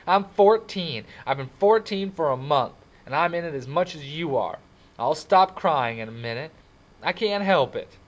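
A man's voice: fairly high pitch, completely flat delivery, a little fast, hardly any pauses, pitched above the performer's own voice, natural voice. A man voicing an emotional, vulnerable fourteen-year-old boy in an audiobook, plaintive and ready to protest. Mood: tearful defiance.

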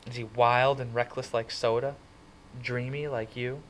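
A man voicing a curious, gentle teenage girl who asks soft, coaxing questions in a storybook reading. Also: medium pitch, flat delivery, unhurried, a little hiss or hum, close to an everyday voice, low-quality recording.

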